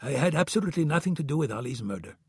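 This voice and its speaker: A man voicing a cold, supremely confident male spy chief who speaks bluntly and forcefully. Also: on the high side, lively intonation, rapid-fire, vocal fry, husky, no echo, studio quality, pitched above the performer's own voice, heavily acted. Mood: defiant.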